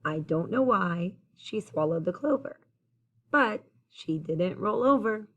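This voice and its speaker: Teacher lady doing a kids read-aloud, sing-song and encouraging, medium pitch. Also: animated, slow, fairly bright tone, highs cut off. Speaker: teacher reading a picture book aloud. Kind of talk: children's story read-aloud, addressing young listeners.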